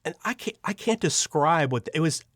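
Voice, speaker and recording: A man's voice: fairly high pitch, expressive, moderate pace, a bit bright, no echo, clean studio sound. A man speaking as an interview guest, sharing personal testimony casually and earnestly, with emphatic repetition and frequent restarted sentences.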